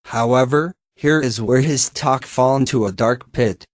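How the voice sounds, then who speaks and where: A man's voice: medium pitch, unhurried, no room sound, studio quality. A man, a native Finnish speaker, giving blunt, sarcastic reaction commentary on a video and swearing now and then.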